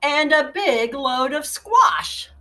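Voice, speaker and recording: A woman's voice: high voice, expressive, takes it slowly, sharp tone, slight background noise, heavily acted. A woman voicing a scruffy, nasty-tempered male varmint who snarls and barks orders in a children's storybook. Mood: bossy, irritated. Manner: snarling, growling, barking orders.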